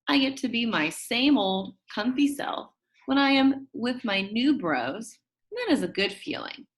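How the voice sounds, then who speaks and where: A woman reading a children's book as a shy, quirky, upbeat school kid, chummy and expressive with dramatic emphasis. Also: on the high side, exaggerated voice. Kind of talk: first-person children's book narration.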